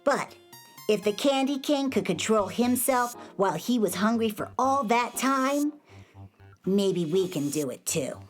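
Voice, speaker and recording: A woman's voice: medium pitch, expressive, a little slow, faint music behind, natural voice. A woman voicing a young, hungry, sheepish and easily worried piglet from space in a children's audio drama.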